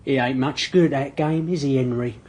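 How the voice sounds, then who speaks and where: Husky, menacing London thug played by a man. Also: medium pitch, fairly even intonation, a little fast, a little mellow, close to an everyday voice. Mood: mocking menace. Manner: mocking, taunting rhetorical questions. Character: menacing raw-boned male thug built like a prizefighter. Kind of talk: radio drama acting.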